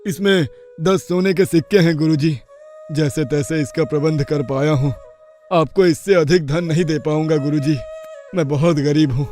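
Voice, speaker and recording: A man as a humble, high-voiced poor village father. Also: fairly even intonation, moderate pace, a little mellow, faint music behind, natural voice. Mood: earnest, deferential. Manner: deferential, pleading. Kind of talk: Hindi moral-story cartoon dialogue.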